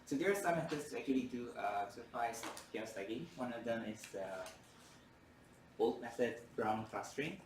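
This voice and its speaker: A man's voice: medium pitch, lively intonation, normal speed, noisy background. A man whose first language is Indonesian, giving a university lecture in a hesitant way with many fillers and restarts. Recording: roomy lecture hall from far off.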